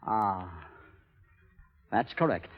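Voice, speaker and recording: An actor playing a desperate, menacing moneylender in a radio drama, insistent and formal, with coolly insinuating delivery. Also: male voice, fairly low pitch, lively intonation, very slow, soft background music. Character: desperate, menacing male moneylender and bank clerk with children. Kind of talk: 1930s radio drama acting. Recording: muffled, hissy old radio recording.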